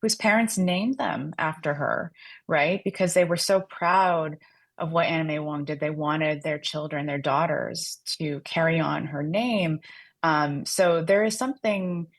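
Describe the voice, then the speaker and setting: A woman's voice: deep voice, measured pace. A woman author being interviewed on radio, explaining her subject fluently and adding a questioning "right?" to her points. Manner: fluent and explanatory, often adds a tag "right?". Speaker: author and guest.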